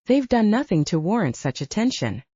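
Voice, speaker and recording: A woman's voice: mid-range voice, very animated, normal speed, mellow, breathy edge, no room sound, lo-fi sound. A woman voicing a desperate, devoted young woman in an audiobook, pleading emotionally. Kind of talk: audiobook character dialogue.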